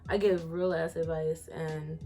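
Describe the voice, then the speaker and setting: A woman in her late twenties from Philadelphia with a Philly accent, hosting a casual chat in a blunt, profane, animated way and laughing often. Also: deep voice, a little slow, soft background music. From Philadelphia, USA. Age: 20s. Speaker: podcast host.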